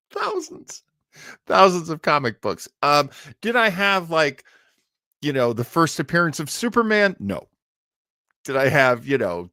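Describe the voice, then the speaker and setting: An American man hosting a casual livestream from his home studio, talking in a halting, earnest, self-deprecating way. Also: medium pitch, bright, forceful tone, clean tone, no echo. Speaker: live show host.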